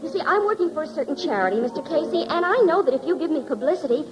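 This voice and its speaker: A sweetly eager young secretary in a 1940s radio drama, flattering and innocently polite. Her voice is high and very lively, with music behind on an old recording. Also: racing, poor audio. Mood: earnest, pleading.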